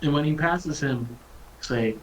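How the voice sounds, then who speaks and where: A man playing a tabletop game who laughs a lot and makes quick, apologetic remarks. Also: a little high, a little hiss or hum, low-quality recording. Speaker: player. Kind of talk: casual chat during a tabletop game.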